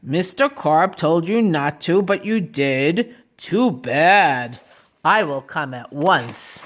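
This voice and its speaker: A woman voicing a stolid male policeman in a children's storybook, with a deadpan, deliberate, authoritative manner. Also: deep voice, pitched below the performer's own voice, exaggerated voice. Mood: stern disbelief. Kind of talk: children's storybook read-aloud.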